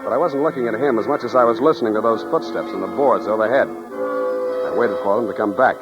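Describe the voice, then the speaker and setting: An actor playing a tough, wisecracking, world-weary private detective in clipped 1940s radio style. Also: male voice, fairly low pitch, fairly quick, lightly acted. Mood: wry narration. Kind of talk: 1940s radio acting with first-person narration. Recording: muffled old radio recording with music.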